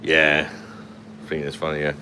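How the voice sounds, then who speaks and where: A man's voice: rumbling low, monotone, a little slow, bright, forceful tone, slight background noise. A man in his thirties with a London accent, hosting a casual livestream from a hotel room at night on a phone held at arm's length, blunt and sweary as he reads out comments. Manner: blunt and sweary, laughs often. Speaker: livestream host. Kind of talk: casual live chat reading comments.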